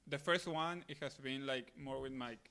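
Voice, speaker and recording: Bright-voiced young man from Argentina. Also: mid-range voice, normal speed, vocal fry, smooth. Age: late 20s. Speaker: podcast guest, missionary. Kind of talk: casual interview answers.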